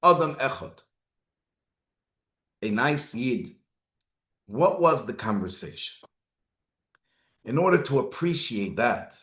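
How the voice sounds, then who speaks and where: Animated rabbi teaching in an echoey room. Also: male voice, medium pitch. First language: not Hebrew. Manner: rhetorical questions, Hebrew and Yiddish terms. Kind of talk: Torah lecture.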